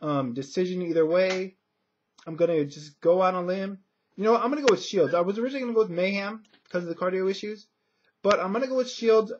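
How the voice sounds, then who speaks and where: A man's voice: high-pitched, fast, a little hiss or hum. A man giving casual sports predictions in a hesitant, conversational way, as a commentator would. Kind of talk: casual fight prediction talk. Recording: dull lo-fi audio.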